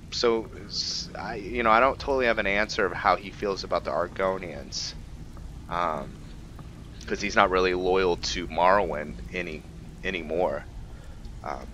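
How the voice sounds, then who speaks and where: A man giving casual roleplay game commentary in a musing, halting way. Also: deep voice, pushed and bright, slight background noise. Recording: dull lo-fi mic, faint music. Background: faint game music.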